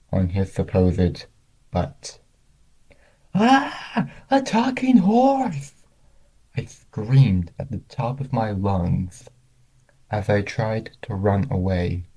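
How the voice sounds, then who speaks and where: A man's voice: on the low side, dark tone, low-quality recording. A man narrating first-person fan fiction, reading it aloud as a storyteller.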